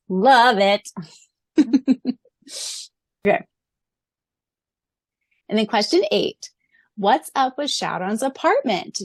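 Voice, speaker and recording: A woman co-hosting a fan podcast, gushing excitedly and laughing often as she reads answers and wraps up the show. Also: mid-range voice, dry recording. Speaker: podcast co-host.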